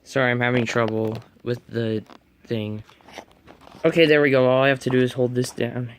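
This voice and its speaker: A man's voice: medium pitch, little pitch movement, normal speed. A man giving casual unboxing and setup commentary, muttering to himself as he works. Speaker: hobbyist reviewer. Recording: noisy home recording with clicks and beeps.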